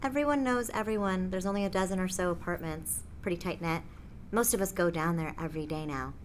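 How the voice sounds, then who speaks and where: A woman's voice: on the low side, brisk, slight background noise, lightly acted. An American woman playing an odd, blunt apartment tenant in an audio drama, with a slangy, offhand, sweary way of talking. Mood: eager. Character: odd, blunt female apartment tenant, obsessed with a mysterious hole. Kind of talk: naturalistic audio drama dialogue.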